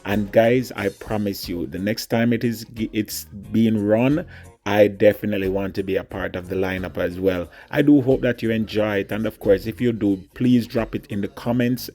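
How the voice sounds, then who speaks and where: A man with a fairly low voice talks casually to viewers as a vlog host. Music plays faintly behind the speech. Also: fairly even intonation, moderate pace, dark tone. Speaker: vlog host and comic performer.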